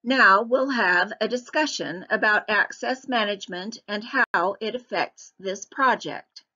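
A woman giving scripted narration for a public hearing slideshow in an even, measured reading. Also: medium pitch, highs cut off.

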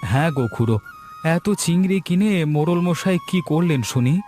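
A man's voice: on the high side, a little fast, a little mellow, lightly acted. A man voicing a practical village fisherman. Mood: chatty. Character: village fisherman and father, steady. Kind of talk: cartoon voice acting. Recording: faint music under the voice.

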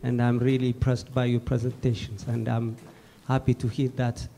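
Monotone East African man, dark voice. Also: mid-range voice, normal speed. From Ethiopia or Eritrea. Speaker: pastor. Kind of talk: audience question at a lecture. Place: indoor hall. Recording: clear background noise, room ambience.

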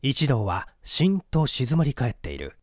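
A man's voice: medium pitch, huge pitch swings, a little slow, darkish tone, no echo, clean studio sound. A man reading a mystery story aloud as a dramatic, scripted narration. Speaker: audiobook reader.

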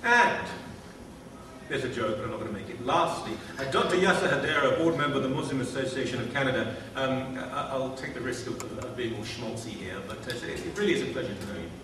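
A man in his fifties introduces panelists with dry, self-deprecating humour and a lot of "uh"s. His voice carries from a distance across a large hall, wrapped in long reverb. A steady hiss sits underneath. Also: mid-range voice, fast talker. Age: 50s. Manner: wry, self-deprecating, hesitant with fillers. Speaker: panel host. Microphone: table mic, distant camera. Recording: echoey hall from far off, noisy.

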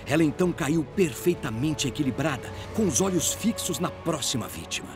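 A male narrator with a Brazilian accent reads a dramatic fantasy tale. His voice sits fairly high and moves through a wide, animated range. Music plays clearly behind him. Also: moderate pace.

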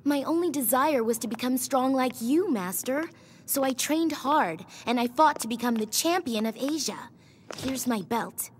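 A woman's voice: sits high, expressive, moderate pace. An actress playing a young, lethal, self-assured girl assassin in a video game, blunt and devoted. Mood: earnest. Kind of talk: video game cutscene acting. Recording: game audio with faint ambient noise.

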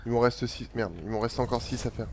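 A man's voice: mid-range voice, flat delivery, fast. A young man giving casual, teasing commentary while playing a co-op video game with a friend. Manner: bantering, teasing. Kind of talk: casual co-op let's play chat. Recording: noisy home recording over game sound.

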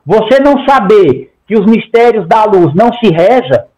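A man's voice: high-pitched, very animated, moderate pace, dark tone, muffled recording. A man of about forty, a guest on a video-call interview, talking emphatically in long runs. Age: 40s. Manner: emphatic, gestures a lot, talks in long runs with repeated "tá?". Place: home room. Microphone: headset on a video call.

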